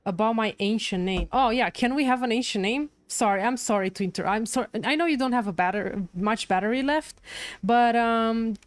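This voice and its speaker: A woman's voice: a little high, brisk. A woman livestreamer giving casual commentary on a game's story, thinking aloud as she reacts. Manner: thinks aloud, repeating and musing. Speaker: game livestreamer. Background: game music.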